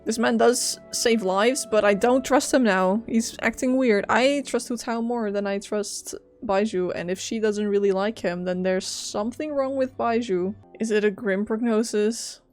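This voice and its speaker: A woman's voice: medium pitch, unhurried, hardly any pauses, darkish tone. A young woman streaming a game, giving informal, chatty reaction commentary. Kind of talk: casual reaction commentary over gameplay. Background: game music.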